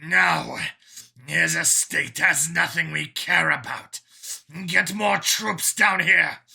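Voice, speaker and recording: A man's voice: on the high side, slow, creaky, pushed and bright, breathy edge, full character voice. A man voicing an angry, imperious dragon commander in an audiobook, barking orders with contempt. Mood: angry, commanding. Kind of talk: audiobook character voice.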